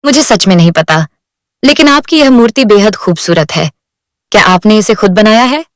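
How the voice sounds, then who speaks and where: A woman voicing a kind, encouraging lady sculptor in a cartoon moral story, speaking in a gentle, earnest way. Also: mid-range voice, expressive, normal speed, no echo, clean studio sound, natural voice. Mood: sincere, curious.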